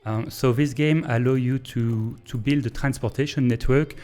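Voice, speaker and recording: A man with a French accent, a native French speaker, thinking aloud in a hesitant, pause-filled way as he streams and comments on a train-building game. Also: mid-range voice, fairly even intonation, a little mellow, faint music behind. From Belgium. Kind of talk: casual live-stream gameplay commentary.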